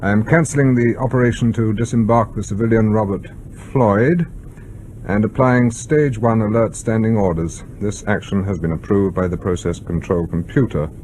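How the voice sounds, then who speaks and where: An actor playing a stern, formal British submarine captain in a radio drama, speaking in a clipped, authoritative way. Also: male voice, lowish voice, steady tone, moderate pace, a little mellow, a little hiss or hum, natural voice. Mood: formal log dictation.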